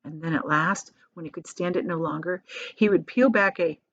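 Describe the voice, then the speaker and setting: Expressive woman reading a storybook, slightly muffled recording. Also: on the low side. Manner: dramatic emphasis, drawn-out key words, brief chatty asides. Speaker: teacher reading a children's book aloud. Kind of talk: expressive storybook read-aloud for children.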